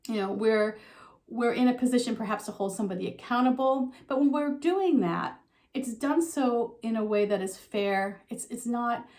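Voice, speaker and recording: A middle-aged woman giving a relaxed, talking tarot reading to her viewers, her voice a little froggy from a slight sore throat, with frequent ums and you-knows. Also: a little high, moderate pace. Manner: soft conversational, with frequent um and you know. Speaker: tarot reader.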